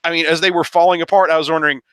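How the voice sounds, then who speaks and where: A man's voice: on the high side, flat delivery, very fast, a bit bright, smooth, no room sound. A man on a podcast panel casually discussing films in a wry, jokey way, often repeating words as he builds a point.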